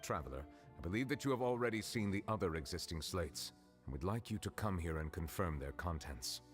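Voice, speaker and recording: An actor playing a composed, scholarly gentleman in a video game, speaking formally, politely and in a measured way. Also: sits low, animated, faint music behind.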